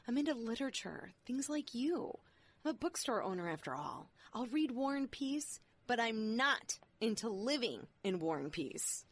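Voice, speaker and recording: A woman's voice: fairly high pitch, very animated, moderate pace, husky, exaggerated voice. A woman voicing an exasperated young American bride on her honeymoon, speaking bluntly and sarcastically. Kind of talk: audio drama acting.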